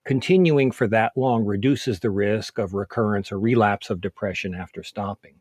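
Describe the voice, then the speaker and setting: A man speaking as a medical expert in an interview, explaining clinical guidance in a measured, methodical way. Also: lowish voice, a little mellow, dry recording, studio quality.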